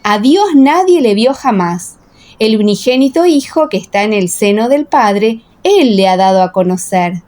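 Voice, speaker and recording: A woman narrating a dramatised scripture audiobook in a solemn, measured way. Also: on the high side, expressive, a little mellow.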